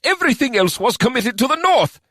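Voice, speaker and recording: Man voicing nervous elf official, shaky voice, gets worked up, way higher. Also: very animated, brisk, bright, forceful tone, no room sound, clean studio sound, exaggerated voice. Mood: anxious. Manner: quivering, vehement. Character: anxious male elven minister, vehement.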